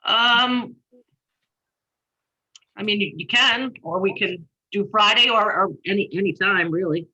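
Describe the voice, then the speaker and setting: Woman chair, firm and businesslike, bright voice on muffled video-call audio. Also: mid-range voice, a little slow, pauses often, breathy edge. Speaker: commission chair. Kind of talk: running a public meeting.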